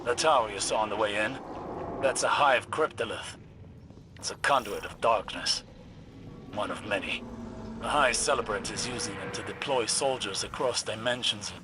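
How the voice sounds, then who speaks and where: An actor playing a grave, weary warlock hero in a video game, speaking with measured urgency over a comm transmission. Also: male voice, fairly low pitch, noisy background. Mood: grave, resigned. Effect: radio comm transmission. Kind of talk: video game dialogue acting.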